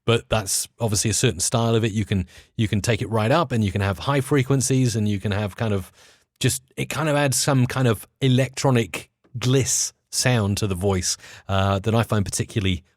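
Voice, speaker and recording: Low-voiced man explaining, dry sound. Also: moderate pace, no echo. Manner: enthusiastic, hesitant while explaining. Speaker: interview guest, audio producer. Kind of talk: casual explanatory interview talk.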